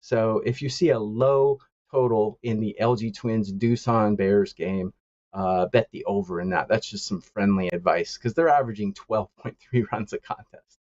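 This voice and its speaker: Low-voiced man, halting with fillers. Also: darkish tone, dry recording, lo-fi sound. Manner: halting, many uh fillers, chuckles often. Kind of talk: casual call-in chat. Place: lives in East Asia.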